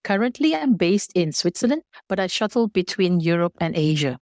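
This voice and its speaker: Female business guest from Malaysia, lowish voice, formal explanatory delivery, dry close sound. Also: sing-song, moderate pace, darkish tone, no room sound. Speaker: podcast guest, business partner and founder. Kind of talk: prepared, explanatory interview answers.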